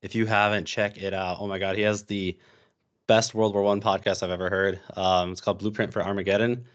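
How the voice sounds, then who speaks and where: A man's voice: fairly low pitch, flat delivery, brisk, muffled recording. A young American man talking casually as a podcast guest, rambling with lots of fillers and restarts. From United States. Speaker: podcast guest, history YouTuber.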